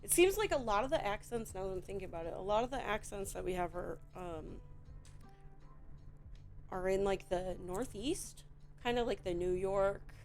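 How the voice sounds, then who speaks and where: A Midwestern woman with a Chicago accent, chatting in a rambling, relaxed way while she builds a toy set on stream. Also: mid-range voice, faint music behind. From Midwestern United States. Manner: chatty, rambling, slow and drawn out, with frequent fillers.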